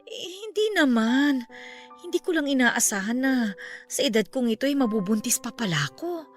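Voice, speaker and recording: A woman's voice: high voice, expressive, moderate pace, faint music behind. A woman playing an anxious middle-aged aunt. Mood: worried. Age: 40s. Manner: hesitant, worried, sighing fillers. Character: anxious woman in her forties, motherly. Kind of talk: Tagalog radio drama acting.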